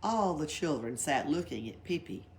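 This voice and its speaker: Expressive woman reading aloud. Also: low voice, moderate pace. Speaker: library read-aloud reader. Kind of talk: expressive children's storybook reading. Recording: background noise, library read-aloud.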